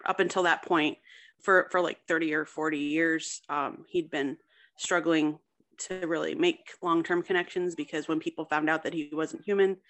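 A woman's voice: sits low, steady tone, normal speed, fairly bright tone. A woman guest author speaking casually in an interview, hesitantly piecing her explanations together. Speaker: guest author discussing own story.

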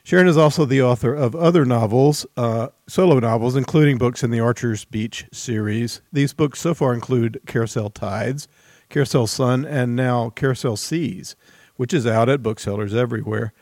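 A man hosting an interview, introducing and asking questions in a somewhat hesitant, halting manner. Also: medium pitch, a little mellow, dry recording, clean studio sound. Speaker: interview host. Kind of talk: book podcast interview.

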